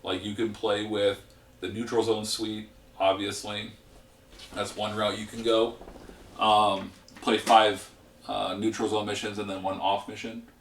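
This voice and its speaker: A man hosting a gaming channel, explaining a card game deck in a rambling, relaxed way with a congested voice that is giving out. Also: lowish voice, measured pace, roomy sound, distant mic, a little hiss or hum.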